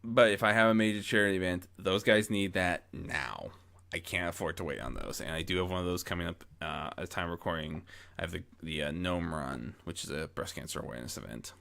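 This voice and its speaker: A man talking casually and reflectively to his followers as an amateur story narrator, rambling and correcting himself as he goes, close on a boom-arm mic. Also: brisk, gravelly, bright, forceful tone. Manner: rambling, self-correcting, frequent false starts. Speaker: amateur audio story narrator and channel host. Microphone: close mic on boom arm with pop guard.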